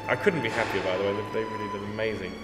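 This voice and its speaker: A man's voice: on the low side, fairly even intonation, racing. A man with an English accent, a composer talking in an interview, thoughtful and self-deprecating, often restarting his sentences. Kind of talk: casual interview. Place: echoey gallery hall. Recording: echoey hall, music behind.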